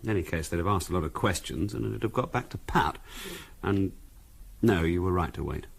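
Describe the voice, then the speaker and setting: An actor playing an impatient, patronising English middle-class husband in a 1970s radio drama, brusque and dismissive. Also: male voice, sits low, a little fast, creaky, husky, exaggerated voice. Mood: questioning, cautious. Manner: brusque, condescending.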